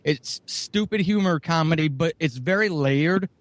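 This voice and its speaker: Middle-aged man, podcast guest, rambling and profane, slightly high voice, dead-dry sound. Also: unhurried, dry recording. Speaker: podcast guest, audio drama writer. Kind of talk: casual podcast interview.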